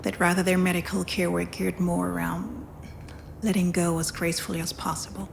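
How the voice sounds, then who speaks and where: An actress playing a frank hospital doctor in a video game, measured and clinical in delivery. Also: female voice, fairly low pitch, flat delivery, gravelly, noisy background. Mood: grave, frank. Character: composed, frank female hospital doctor breaking bad news. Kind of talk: naturalistic video game acting.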